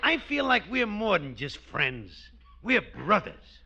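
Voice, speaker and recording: An actor playing an earnest, sappy and self-satisfied working-class American pal in a 1940s radio comedy. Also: male voice, high-pitched, wildly swooping pitch, takes it slowly, creaky, sharp tone, heavily acted. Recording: dull vintage 1940s radio recording.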